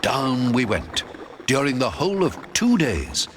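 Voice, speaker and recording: A man narrating a first-person adventure audiobook in a theatrical, expressive storytelling manner. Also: mid-range voice, measured pace, a little hiss or hum. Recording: long hall reverb.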